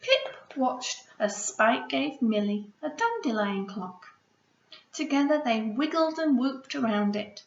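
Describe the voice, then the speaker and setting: A woman, a primary school teacher, reading a storybook aloud to children in an expressive way, with hushed asides to her listeners. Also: high voice, takes it slowly. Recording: roomy echo, slightly dull sound.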